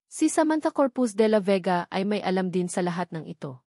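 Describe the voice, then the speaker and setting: A woman's voice: mid-range voice, very animated, brisk, dark tone, dry recording, clean studio sound. A Filipino-accented woman voicing a bitter, determined adult son confronting his father in a storybook drama, firm and accusing.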